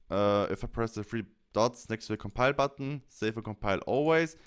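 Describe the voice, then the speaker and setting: Male tutorial presenter, casual and instructional, smooth clear tone with animated inflection. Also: medium pitch. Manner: explains step by step. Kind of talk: casual software tutorial narration.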